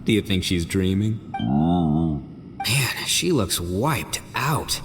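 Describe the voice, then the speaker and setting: A man's voice: sits low, big pitch swings, very slow, big-room sound, full character voice. A man playing a boisterous, easygoing, big-hearted brawler in a video game, with a casual, slangy delivery. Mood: fond, worried. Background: faint background music.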